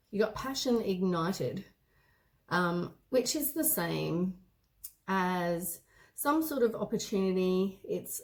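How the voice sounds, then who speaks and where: A hoarse woman with a head cold gives a casual tarot reading, meandering and often correcting herself mid-sentence. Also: lowish voice, a little mellow. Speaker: tarot card reader.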